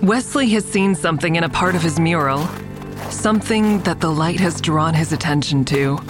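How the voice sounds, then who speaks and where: An actress playing a reflective, tender woman who narrates an audio drama in measured, descriptive first person. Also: deep voice, over music, lightly acted. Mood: uneasy, suspenseful. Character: reflective woman admiring a friend's mural, tender. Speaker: narrator and lead character.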